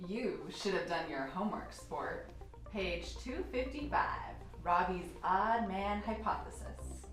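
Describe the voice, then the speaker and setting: A woman's voice: mid-range voice, lively intonation, slow pace, soft background music. A woman acting in an amateur radio play as a testy, sardonic scientist with a dry manner. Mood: dry, needling. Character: sardonic, testy female doctor, an oddball scientist. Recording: very echoey room, distant mic.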